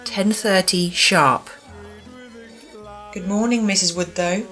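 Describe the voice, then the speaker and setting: A woman's voice: medium pitch, steady tone, slow, a bit bright, faint music behind. A woman playing a prim, officious care home matron with an English accent and formal, pompous manner in an amateur radio play. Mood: brisk, officious.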